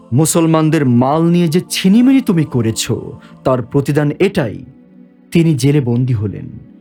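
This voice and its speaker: A male narrator reads a scripted story. His voice is a little higher than most men's, and music runs behind the narration. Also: mellow. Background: background music.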